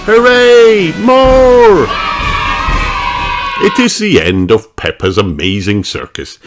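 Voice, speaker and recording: A man reading a children's storybook aloud with expressive, deliberate narration. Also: deep voice, soft background music, some chatter behind. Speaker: storybook reader.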